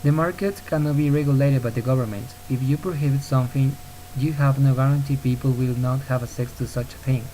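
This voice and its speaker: Earnest young man from Ecuador, mellow. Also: medium pitch, brisk, rough recording. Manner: earnest, steady reading with occasional slips and restarts. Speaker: podcaster. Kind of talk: read-aloud argumentative podcast. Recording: faint hiss on a home recording.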